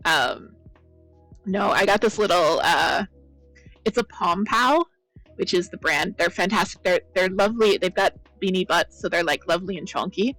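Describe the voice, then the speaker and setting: A woman chatting casually with viewers as the host of a crafting stream, her voice a bit croaky. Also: on the low side, moderate pace, no echo, soft background music, low-quality recording. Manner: chatty, rambling, greets viewers by name. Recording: loud blown-out mic.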